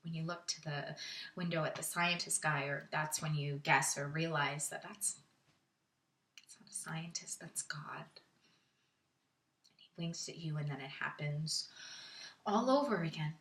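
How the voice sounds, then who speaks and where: Woman acting vulnerable young woman, theatrical confessional monologue, bright voice, halting slow delivery, distant roomy sound. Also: deep voice, a little hiss or hum. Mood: hushed, fragile. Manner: halting, confessional, swinging from hushed murmurs to emphatic bursts. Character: Jen, vulnerable young woman confessing painful intimate memories. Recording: roomy echo, distant microphone.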